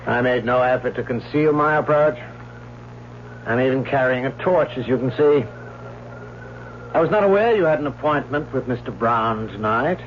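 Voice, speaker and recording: An actor playing a stern, formal, menacing older guardian with a clipped, pompous manner in an old-time radio drama. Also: male voice, mid-range voice, moderate pace, pauses often, natural voice. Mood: icy. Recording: muffled, noisy old radio recording.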